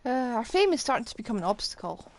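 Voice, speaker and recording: A woman's voice: on the high side, big pitch swings, fast, slight background noise. A woman streamer giving casual, wry, joking commentary on a game. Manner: teasing, joking.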